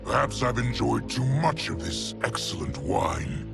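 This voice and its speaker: A man playing a proud, hawkish general in a fantasy video game, with a gravelly voice and a haughty, formal manner. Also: mid-range voice, big pitch swings, a little slow, over loud music, heavily acted. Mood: haughty, insistent.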